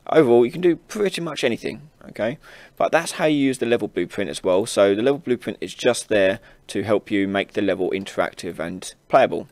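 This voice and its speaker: Low-pitched man narrating a software tutorial. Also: expressive, a little fast, hardly any pauses, no room sound. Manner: casual, explains step by step while demonstrating. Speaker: tutorial host. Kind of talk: informal screen-recorded software tutorial narration.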